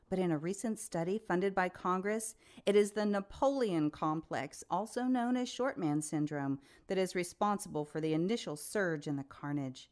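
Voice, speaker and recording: A composed female reporter played by an actress fronts a news special in a radio play. Her delivery is formal and measured, with a probing, scripted interviewer's manner. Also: fairly low pitch, natural voice.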